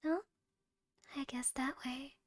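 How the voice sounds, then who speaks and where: Woman to play shy, obsessive young yandere woman for ASMR audio drama. High voice, slow delivery, soft and breathy, slipping into whispers, sweet with menace underneath. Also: fairly even intonation, no echo, clean studio sound. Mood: sweetly possessive. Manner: soft, breathy, often whispering, with hesitant little pauses. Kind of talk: ASMR audio roleplay, intimate one-sided dialogue.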